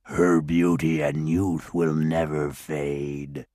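A haughty dwarf lord, as a narrator puts him on, rumbling at the very bottom of his range. He drags every word out slowly and menacingly, savoring his power. The storybook voice is recorded dry and close. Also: little pitch movement, warm tone, dry recording. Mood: sneering. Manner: slow, gloating and menacing. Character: haughty, scheming dwarf lord, male, adult. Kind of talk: audiobook character voice.

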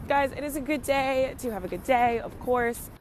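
A young woman in her twenties recording a casual phone update for her followers, bubbly and playful, sometimes going soft and confiding. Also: high-pitched, moderate pace, soft background music. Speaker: social media personality. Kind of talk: casual selfie video update. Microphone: phone camera held at arm's length.

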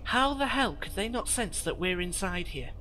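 A woman playing a defensive, skittish young fugitive in an audio drama, quick to sound indignant. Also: mid-range voice, animated, a bit bright, natural voice. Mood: alarmed. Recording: noisy ambience behind.

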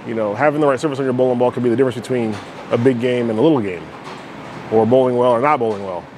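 A man talks through a bowling ball test in a loose, explanatory way, dropping "right?" and fillers as he goes. His voice sits at an ordinary middle pitch. The clatter and hum of a bowling alley carry clearly behind him. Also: rapid-fire. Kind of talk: casual product demo commentary.

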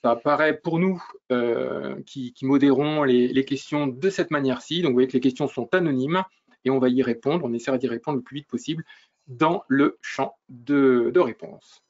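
Brief: man consultant on a video call, mid-range voice, measured and explanatory, muffled audio. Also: mid-range voice. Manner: measured, explanatory, some hesitations. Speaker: education consultant and webinar moderator. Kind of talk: webinar presentation. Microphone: computer mic on a video call.

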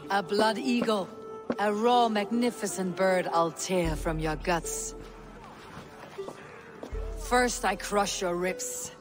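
An actor playing a proud, blunt Viking warrior with a terse, threatening manner in a video game. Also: male voice, sits high, steady tone, slow, pauses often. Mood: menacing. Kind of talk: video game cutscene acting. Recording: music behind the voice.

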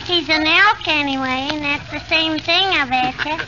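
A woman's voice: sits high, moderate pace, lightly acted. A woman playing a sly, pestering little girl with a childlike, wheedling delivery in 1940s radio comedy. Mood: pleading. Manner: wheedling, catchphrase-prone. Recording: noisy, muffled 1940 radio broadcast.

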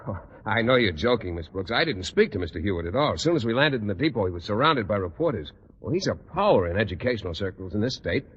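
An actor playing an earnest, naive, mild-mannered American teacher, polite and hesitant, in a 1940s radio sitcom. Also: male voice, lowish voice, very animated, fast, a bit bright, husky, dull audio, heavily acted. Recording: noisy, reverberant band-limited 1940s radio recording.

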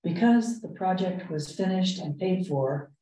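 A woman leading a committee meeting, explaining items in a halting, measured way. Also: lowish voice, lively intonation, warm tone. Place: indoor meeting room. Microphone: distant room microphone. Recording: roomy, distant meeting-room microphone.

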